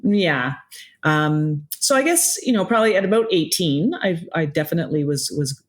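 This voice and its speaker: A middle-aged woman from Quebec with a low voice, chatting casually as a musician guest, often stopping and restarting. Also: lively intonation, normal speed. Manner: hesitant, many restarts and fillers. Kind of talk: casual interview chat.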